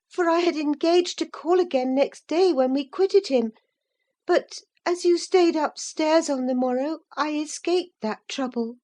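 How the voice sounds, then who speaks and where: A woman doing a tearful pleading teenage girl. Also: darkish tone. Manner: breathless, high and earnest.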